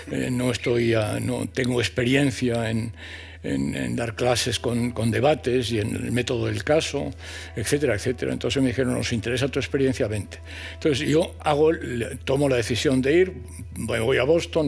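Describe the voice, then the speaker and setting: Older guy telling stories on a panel, gravelly voice, lots of ehs, light music. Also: medium pitch. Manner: deliberate, hesitant with many fillers. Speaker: panel guest, former professor. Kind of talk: anecdotal storytelling at a live event. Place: event hall before an audience.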